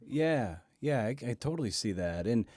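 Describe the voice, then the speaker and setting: A man hosting a casual interview, feeling his way haltingly into long, tentative questions. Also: mid-range voice, animated, a little mellow, no room sound, clean studio sound. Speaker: podcast host, interviewer.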